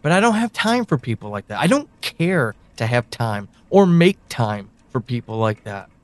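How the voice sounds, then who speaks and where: A man playing a brooding, knowing confidant in an audio drama monologue, speaking deliberately to one listener with pointed pauses. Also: mid-range voice, very animated, dry recording, close to an everyday voice. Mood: reassuring, grave. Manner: deliberate, halting delivery with sighs and pointed pauses. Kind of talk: audio drama monologue, second-person address.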